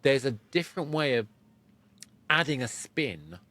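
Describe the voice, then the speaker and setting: A man hosting a podcast interview, explaining in a measured, reflective, conversational way. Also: medium pitch, big pitch swings, pushed and bright. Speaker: podcast host.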